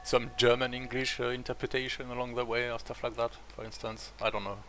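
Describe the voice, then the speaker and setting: A man's voice: mid-range voice, level tone, a little fast, over music. A French man streaming a strategy game, chatting in English with a French accent, rambling and full of hesitations. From France. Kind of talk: casual chat while playing.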